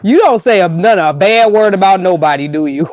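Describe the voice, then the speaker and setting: A woman with a low speaking voice, chatting as a guest on a live stream, frank and teasing. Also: animated, normal speed, darkish tone, dry recording, muffled recording. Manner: frank and teasing, laughs often. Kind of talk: casual chat.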